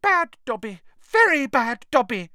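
A man's voice: very high voice, big pitch swings, measured pace, sharp tone, no room sound, clean studio sound, voice pushed up, exaggerated voice. A man voicing an anxious, servile male house-elf who pleads and keeps calling people sir. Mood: frantic.

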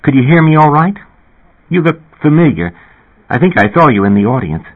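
A man reading an audiobook and voicing a shy, breathless young woman singer who talks in a rushing, excited way. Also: on the high side, husky, voice pushed up. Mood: eager, breathless. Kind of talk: audiobook character voice. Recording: tinny phone-like audio.

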